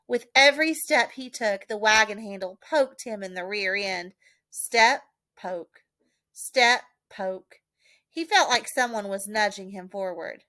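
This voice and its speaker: A woman reading a children's storybook expressively, with dramatic, deliberate emphasis. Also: mid-range voice, pushed and bright, no room sound. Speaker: audiobook reader, teacher.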